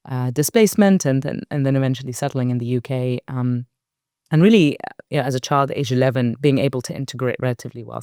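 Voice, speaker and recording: A woman in her forties from Iraq, a company board director, talking reflectively as a guest in an interview, measured and thoughtful with soft fillers. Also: a bass voice, clear, resonant tone, no room sound, studio quality.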